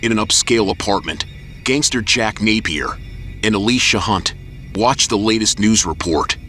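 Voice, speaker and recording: A man narrating an audio drama in a steady, dramatic style. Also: lowish voice, normal speed, soft background music.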